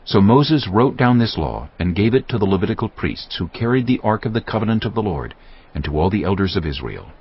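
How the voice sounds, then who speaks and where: A man's voice: on the low side, sing-song, fairly quick, breathy edge. A man narrating scripture as a scripted dramatized audiobook reading. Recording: muffled audio with music underneath.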